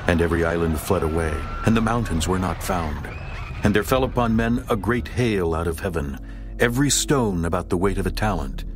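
Low voiced guy reading scripture slow n solemn, music playing under it. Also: expressive. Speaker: narrator. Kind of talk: dramatized scripture narration.

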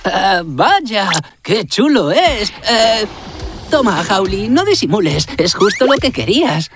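A woman's voice: medium pitch, sing-song, slow. A woman voicing a clumsy, hesitant young animal hero in a cartoon, flustered and apologetic. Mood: hesitant, eager. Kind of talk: cartoon dub acting. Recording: loud music over the voice.

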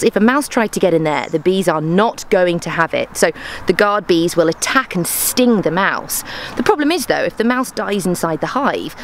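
Lively young woman explaining beekeeping. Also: mid-range voice, a little hiss or hum. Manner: bright, explaining to viewers. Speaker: YouTube host. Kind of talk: casual educational vlog. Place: outdoors in a garden by beehives. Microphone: handheld camera close up through a bee veil. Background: background music.

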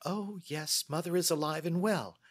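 A man's voice: a little high, normal speed, slightly rough, dry recording, studio quality, voice pushed up. A man voicing an anxious, chatty young female client in an audiobook, with a flustered, rambling manner. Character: anxious, good-humored, chatty large young woman client. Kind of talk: audiobook character dialogue.

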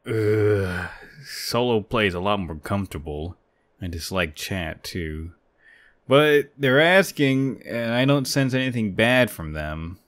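A man's voice: fairly low pitch, expressive, takes it slowly, a bit bright. A man voicing a self-conscious, defensive young male gamer in a manga dub. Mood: reluctant muttering.

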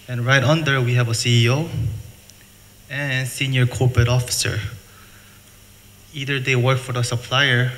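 A middle-aged man from the United States presenting at a seminar, speaking plain, halting English and repeating words. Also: medium pitch, a bit bright. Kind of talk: conference presentation. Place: hotel function room. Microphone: table mic, filmed from afar. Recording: reverberant hall, faint noise.

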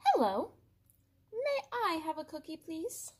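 Woman doing a high, polite little duckling. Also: big pitch swings, takes it slowly, darkish tone, a little hiss or hum, heavily acted. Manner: polite, brief, innocent. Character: small, polite, sweet young duckling.

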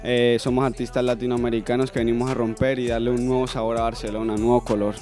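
A young man from Colombia with a Cali accent introducing his group in a TV studio, relaxed and a bit hesitant, speaking off mic. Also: mid-range voice, fast, soft background music. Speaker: singer in a group.

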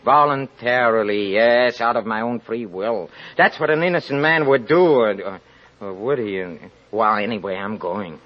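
An actor playing a proud, guilt-ridden, impoverished young writer in an old-time radio drama, speaking haltingly and tensely. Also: male voice, mid-range voice, close to an everyday voice. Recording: dull, lo-fi old radio recording.

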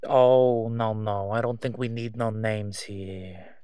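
A man's voice: lowish voice, steady tone, slow, warm tone, smooth, dry recording, clean studio sound. A man playing a suave, flirtatious crime boss in an audio roleplay, drawing out his words teasingly. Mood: sly, flirtatious. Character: smooth-talking, flirtatious, sly male crime boss.

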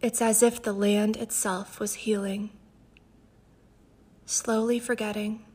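A woman, a poet, introducing and reading her own work with a gentle, breathy delivery. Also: mid-range voice, level tone, slow. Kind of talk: poetry recitation with spoken introduction.

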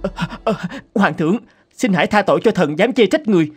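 A man's voice: sits high, animated, a little fast. A man playing a humble, anxious subject addressing his king in a cartoon folk tale, earnest and deferential. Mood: pleading, nervous. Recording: prominent music behind the voice.

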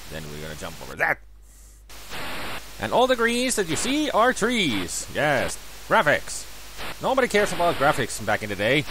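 Let's player, man, 30s, sore throat, chatty and self-correcting, very lively pitch, light background hiss. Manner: rambling, self-correcting, bursts of excited cheers. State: sore throat, voice lower than usual. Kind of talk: casual gameplay commentary.

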